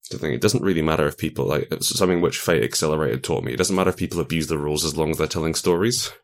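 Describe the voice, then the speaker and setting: Very deep-voiced man explaining game rules. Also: very fast. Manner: explanatory, playful, laughs easily. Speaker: game designer guiding character creation. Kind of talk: casual tabletop chat.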